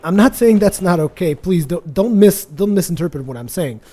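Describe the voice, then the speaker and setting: Higher-pitched man, mellow, chatty. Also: lively intonation, brisk. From Portugal. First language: Portuguese. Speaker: gaming video host. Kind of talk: casual chatty gameplay commentary.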